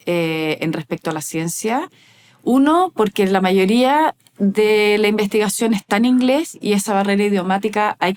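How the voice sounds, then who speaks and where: A woman's voice: mid-range voice, darkish tone. A woman researcher, speaking as a guest in a casual interview, thoughtful and hesitant with many fillers and restarts.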